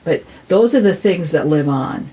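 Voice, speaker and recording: American lady around 60, lowish voice, earnest podcast guest, kinda preachy. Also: expressive, moderate pace, mellow, rough recording. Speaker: podcast guest, city council member. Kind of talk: casual discussion.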